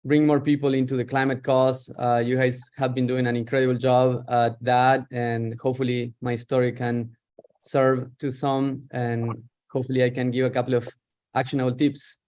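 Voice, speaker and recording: A man's voice: medium pitch, little pitch movement, normal speed, darkish tone. Middle-aged man, reflective. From Argentina. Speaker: guest, startup founder. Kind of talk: interview on a video call.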